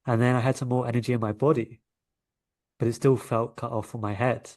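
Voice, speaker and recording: A man's voice: medium pitch, flat delivery, a little fast, darkish tone. Man with a London accent. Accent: London English. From London, England. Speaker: interview guest, coach and energy healer. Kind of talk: conversational storytelling in an interview.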